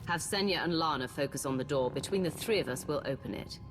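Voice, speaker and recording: An actress playing a confident, wry warrior leader in a sci-fi game, with a dry, commanding delivery. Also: female voice, sits low, fast talker, over music. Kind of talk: video game voice acting.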